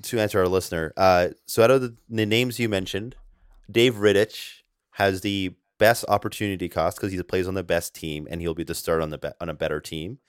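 Deep-voiced, rambling podcast co-host. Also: male voice, fairly even intonation, fairly quick, clear, resonant tone, dry recording, studio quality. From half Japanese. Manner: rambling, filler-heavy, restarts often. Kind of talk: casual fantasy hockey podcast chat.

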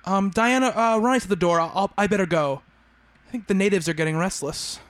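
Man acting as earnest higher-voiced psychiatrist narrator. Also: a little fast, close to an everyday voice. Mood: gentle, reassuring. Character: earnest male psychiatrist narrating his own story. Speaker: narrator and lead actor in a radio play. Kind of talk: scripted radio play narration and dialogue.

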